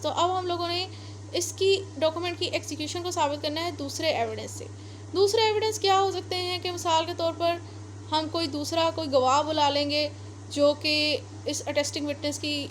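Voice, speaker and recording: A woman's voice: high-pitched, slight background noise. A woman with a Pakistani accent giving an explanatory law lecture, repeating and rephrasing points step by step. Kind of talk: explanatory lecture mixing read-out English legal text with Urdu explanation.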